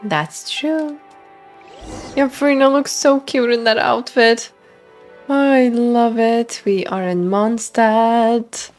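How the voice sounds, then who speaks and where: Slow-paced woman casually streaming. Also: fairly high pitch, steady tone, faint music behind. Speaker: streamer playing a game. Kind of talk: casual live game reaction.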